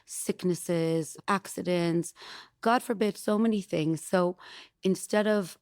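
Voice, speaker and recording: A woman's voice: on the low side, slow, darkish tone, no room sound, clean studio sound. A woman attorney, a guest in an interview, explaining methodically and walking through examples.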